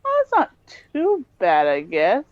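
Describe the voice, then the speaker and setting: A woman's voice: medium pitch, huge pitch swings, measured pace, no room sound. A woman co-hosting a casual podcast, mostly giving short, easygoing replies. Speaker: podcast co-host. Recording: muffled, lo-fi, dry.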